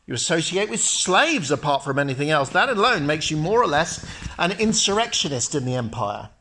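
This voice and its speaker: A man's voice: on the high side, sing-song, brisk, sharp tone, slight background noise, exaggerated voice. Man doing a scornful young Roman friend. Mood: mocking. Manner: urgent, mocking, lecturing.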